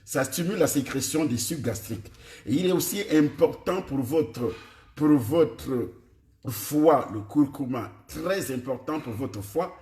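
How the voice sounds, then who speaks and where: Cameroonian preacher teaching in an echoey room. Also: male voice, medium pitch, dark tone. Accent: Cameroonian French. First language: Ewondo. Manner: repetitive, addresses listeners directly with questions. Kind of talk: teaching on health and food to a congregation.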